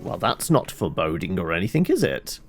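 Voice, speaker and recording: A man's voice: low-pitched, wildly swooping pitch, fairly quick, soft background music. A British man giving casual, chatty live commentary as he plays a game, with wry asides. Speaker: game streamer.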